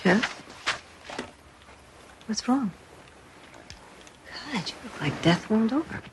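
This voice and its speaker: A woman's voice: mid-range voice, expressive, takes it slowly. A middle-aged woman playing a wry, perceptive wife and mother in a naturalistic TV drama, dry and teasing with concern. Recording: clearly noisy background.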